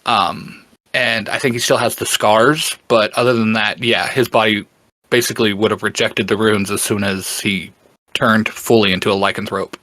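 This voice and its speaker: A man speaking as a podcast guest, explaining things casually and haltingly as he searches for words. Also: fairly low pitch, flat delivery, sharp tone, no room sound. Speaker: podcast guest and fan explaining a book series.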